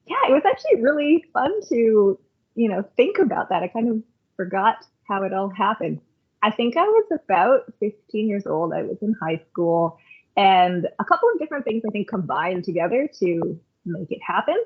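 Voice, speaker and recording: A woman's voice: mid-range voice, big pitch swings, normal speed, slightly rough. Woman guest, chatty and reflective, lots of ums, sounds like a phone line. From Canada. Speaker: podcast guest, worship leader. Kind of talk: casual conversational storytelling.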